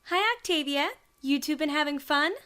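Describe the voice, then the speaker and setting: A woman's voice: sits high, normal speed, bright, forceful tone. A woman voicing a sly, teasing female pony with a knowing manner in a dramatised story reading. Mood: playful teasing. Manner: playfully knowing.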